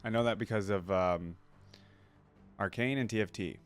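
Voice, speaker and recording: A man's voice: lowish voice, moderate pace, soft background music. A man hosting a reaction video in a casual, curious way, thinking aloud as he reads and comments.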